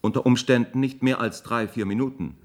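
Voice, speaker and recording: A man plays a calculating professor with a mid-pitched voice. He lectures precisely and coolly, with clinical detachment. The style is science fiction radio drama. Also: a little fast, close to an everyday voice. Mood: coolly persuasive.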